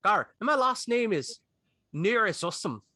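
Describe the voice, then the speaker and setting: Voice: medium pitch, big pitch swings, moderate pace, pushed and bright, no echo, studio quality. A player in a tabletop game speaking in character, friendly and sing-song.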